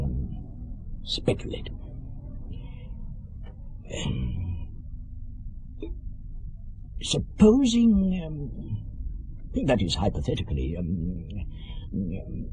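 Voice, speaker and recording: A slow, mellow old gentleman rogue with hugely swooping intonation, in a radio drama. He is oily and mock-polite, circling every point with coy, evasive hesitation. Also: low-pitched, wildly swooping pitch, breathy edge, full character voice, poor audio. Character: oily, genteel old male rogue selling secrets.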